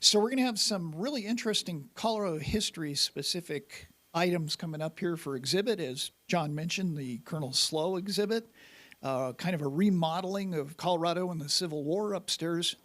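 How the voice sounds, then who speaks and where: A man's voice: fairly high pitch, a little fast, fairly bright tone. A man hosting a museum talk series, upbeat as he announces events and introduces the guest speaker. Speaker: event host.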